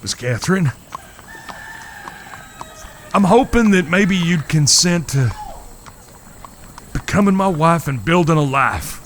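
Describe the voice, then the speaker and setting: A man playing an earnest, courteous cowboy suitor in a western audio drama, with halting, old-fashioned, courtly phrasing. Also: on the high side, lively intonation, slight background noise. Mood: nervous, earnest.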